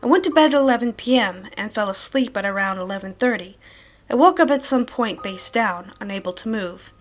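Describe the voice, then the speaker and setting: A woman's voice: mid-range voice, animated, a little fast, natural voice. Woman acting troubled woman's horror diary over music. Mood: haunted recounting. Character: troubled woman recounting night terrors in a diary. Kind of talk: scripted horror story narration.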